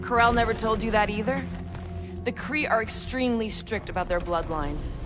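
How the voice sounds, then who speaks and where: An actress playing a tough female alien warrior in a video game, measured, dry and matter-of-fact. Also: fairly high pitch, music in the background, close to an everyday voice. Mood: cool, serious. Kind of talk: video game character acting.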